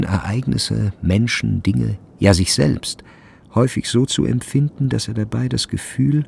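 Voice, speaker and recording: A man narrating a literary radio drama from a script, with measured and deliberate phrasing. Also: fairly low pitch, creaky, mellow, husky.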